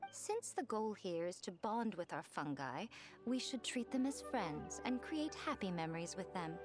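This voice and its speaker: A woman playing an elegant, knowing lady mentor in an anime video game, with a composed, refined and gently teasing manner. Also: medium pitch, lightly acted. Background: faint game music.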